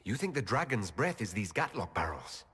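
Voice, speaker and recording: A man's voice: lowish voice, very animated, moderate pace, a bit bright, breathy edge, heavily acted. An actor playing a serious, direct, diplomatic male elf leader in a video game. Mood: serious, inquiring.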